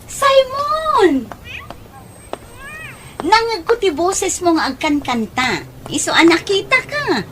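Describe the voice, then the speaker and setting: A woman with an Ilocano accent playing a persuasive, caring aunt in an audio drama, with an expressive, coaxing delivery. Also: very high voice, slow, long pauses, noisy background, full character voice. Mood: glad, concerned. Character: Auntie Mikaela, persuasive, caring female relative.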